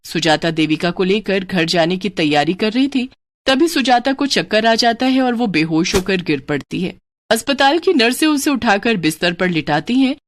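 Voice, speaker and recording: Female storyteller, scripted dramatic narration, lively swinging pitch, medium register, moral tale. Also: dry recording.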